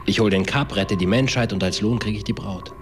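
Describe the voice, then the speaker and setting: A man's voice: fairly low pitch, fairly quick, noisy background, lightly acted. An actor playing a cocky young man who recounts events in a slangy, clipped, matter-of-fact way in a radio drama. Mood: defiant. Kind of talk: German radio drama acting, confessional narration. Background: music.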